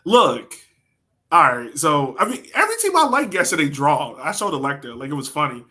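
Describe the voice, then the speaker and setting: A man's voice: fairly high pitch, sing-song, moderate pace, bright, forceful tone. A man co-hosting a soccer podcast, talking in an informal, slangy way and telling stories casually. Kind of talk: casual sports chat.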